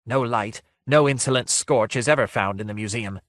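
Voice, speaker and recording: A man voicing a sad, menacing phantom of terror in a fantasy story, with a soft, breathless, quavering, sighing voice and archaic, ornate speech. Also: medium pitch, moderate pace, no room sound, clean studio sound, close to an everyday voice. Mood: insistent, menacing.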